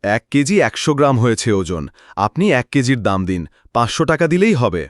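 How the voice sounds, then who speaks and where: A man's voice: mid-range voice, very animated, a little fast, dry recording, clean studio sound, lightly acted. A man voicing a blunt village man in a cartoon folk tale. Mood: firm. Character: blunt village man, impatient customer or fish seller.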